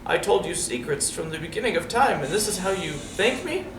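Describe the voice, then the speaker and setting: A man's voice: high voice, expressive, a little fast, voice pushed up. A man voicing a giant, wise old talking fish from a storybook, earnest and pleading. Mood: hurt. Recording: roomy, distant mic, noisy background.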